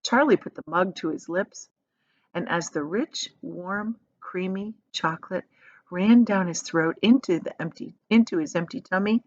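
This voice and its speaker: A woman's voice: fairly low pitch, measured pace, a little mellow, no room sound, highs cut off. A woman reading a children's story aloud expressively, with emphatic delivery that lingers on descriptive words. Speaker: storybook reader.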